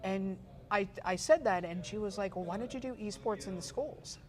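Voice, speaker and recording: A woman's voice: mid-range voice, normal speed. A woman in her forties from Pittsburgh, a guest in an interview, telling her story in a chatty way with many fillers and restarts. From Pittsburgh, USA. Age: 40s. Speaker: guest, esports photographer and journalist. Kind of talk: casual interview chat.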